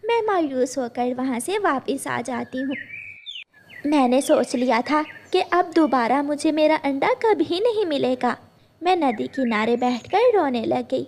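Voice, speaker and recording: A woman's voice: high voice, expressive, measured pace, a little mellow. A woman voicing a devoted, anxious mother sparrow telling her story earnestly for a children's cartoon. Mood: plaintive.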